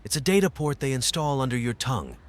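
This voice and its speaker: Man playing an animated crime-scene investigator. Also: medium pitch, fast, slight background noise, lightly acted. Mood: intrigued. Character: alert male crime-scene investigator colleague. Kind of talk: noir audio drama dialogue.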